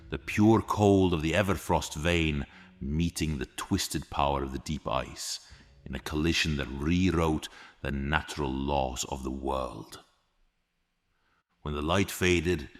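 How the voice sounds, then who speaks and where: Very deep, slow male epic narrator. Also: a bit bright. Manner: solemn, dramatic, measured delivery. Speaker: audio drama narrator. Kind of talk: scripted epic fantasy story narration. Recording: long reverb with music behind. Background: music.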